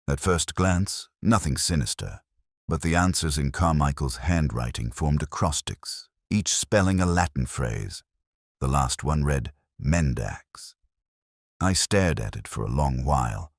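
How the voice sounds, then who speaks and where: Very deep-voiced male story narrator. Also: lively intonation, measured pace, dry recording, studio quality. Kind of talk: scripted first-person detective story narration.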